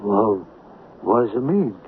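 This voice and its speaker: An actor playing an anxious, streetwise small-time hustler in a 1970s radio drama, with a nervous, dramatic way of talking. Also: male voice, lowish voice, lively intonation, takes it slowly, slightly rough, full character voice. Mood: anxious, urgent. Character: anxious small-time male hustler recounting his uneasy fortune. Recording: tinny vintage radio broadcast.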